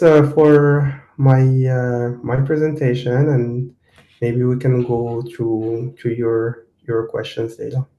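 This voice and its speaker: A man from Morocco giving a tech seminar talk and answering interview questions, halting with many fillers and restarts. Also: mid-range voice, warm tone. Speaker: guest speaker, technical program manager. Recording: roomy echo.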